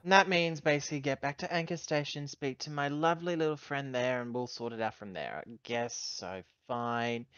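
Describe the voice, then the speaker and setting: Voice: medium pitch, normal speed, hardly any pauses, a bit bright, smooth, no room sound, lo-fi sound. A tabletop game player roleplaying and chatting casually in an assertive manner. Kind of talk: in-character roleplay and casual chat.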